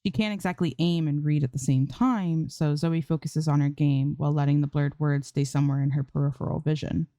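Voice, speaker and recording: A woman's voice: deep voice, steady tone, a little fast, few pauses, warm tone, dry recording, clean studio sound. A woman reading fan fiction aloud as an amateur narrator, in scripted storytelling style.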